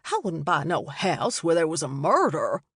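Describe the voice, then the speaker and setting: A woman's voice: sits low, very animated, normal speed, slightly rough, no room sound, studio quality, heavily acted. Woman doing a blunt, brash older Southern woman.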